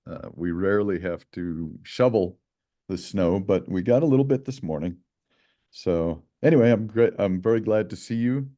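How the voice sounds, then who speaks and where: Low, mellow-voiced man hosting a call. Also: moderate pace. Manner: relaxed, chatty, many fillers. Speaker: meeting host, project lead. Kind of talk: casual video call meeting. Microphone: video call.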